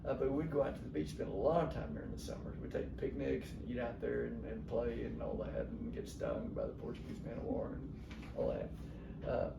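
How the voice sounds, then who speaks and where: Older male storyteller, gravelly and folksy, rambling casual reminiscence, captured in an echoey room. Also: medium pitch, sing-song, brisk. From Texas, USA. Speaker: father telling family memories. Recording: noisy, echoey home recording, distant mic.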